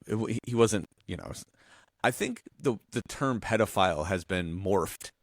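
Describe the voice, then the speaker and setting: A man co-hosting a podcast, chatting in an informal, animated way with some mild swearing. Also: lowish voice, moderate pace, dry recording, clean studio sound. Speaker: podcast co-host. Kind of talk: casual chat.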